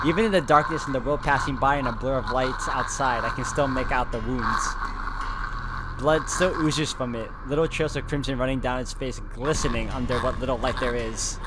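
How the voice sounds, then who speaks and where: A man's voice: mid-range voice, fairly even intonation, moderate pace, heavy background noise. A man reading a visual novel's narration aloud in a dramatic storytelling style. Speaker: game walkthrough player reading narration aloud. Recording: loud music behind the voice. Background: loud game music.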